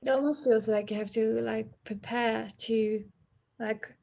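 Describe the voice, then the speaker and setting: Female workshop participant, hesitant and informal, relaxed dark timbre, little pitch movement. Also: unhurried, creaky. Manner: hesitant, searching for words. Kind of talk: casual spoken reflection. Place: virtual workshop over video call.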